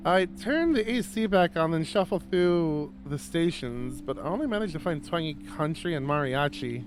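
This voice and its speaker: A man reading a visual novel aloud on a stream, casual and expressive, with rambling conversational asides. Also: a little high, normal speed, noisy background.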